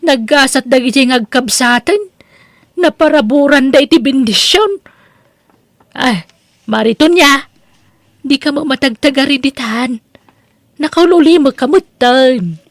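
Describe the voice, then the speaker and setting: A woman's voice: high voice, lively intonation, measured pace, pauses often, dry recording. A woman with an Ilocano Filipino accent playing a proud, admiring mother figure in an audio drama, chatty and full of exclamations.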